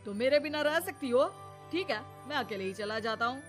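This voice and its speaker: A woman's voice: sits high, animated, moderate pace, over music. A woman voicing a selfish, impatient young man, the adult son in a family story, curt and demanding. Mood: defiant.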